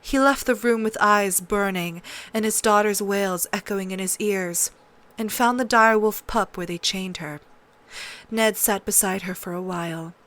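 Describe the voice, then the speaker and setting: A woman narrates an audiobook at a slow, measured pace. Her delivery is scripted, dramatic storytelling in a medium-pitched voice. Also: fairly bright tone.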